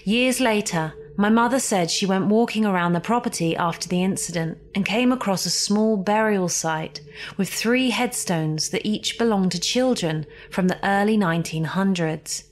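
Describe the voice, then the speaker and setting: A woman reading a scripted ghost story as a horror podcast narrator, theatrical and suspenseful, with deliberate emphasis. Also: mid-range voice. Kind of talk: scripted dramatic spooky narration. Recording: music behind the voice.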